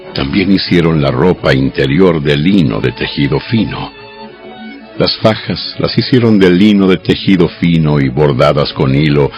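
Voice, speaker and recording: A man reading scripted scripture as an audio Bible narrator, solemn and measured, in a dramatized storytelling style. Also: sits low, creaky, a little mellow, lo-fi sound. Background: music.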